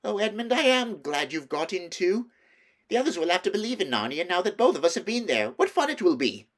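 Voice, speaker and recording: Man voicing an eager, high-pitched young girl. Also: brisk, full character voice. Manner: excited, breathless enthusiasm.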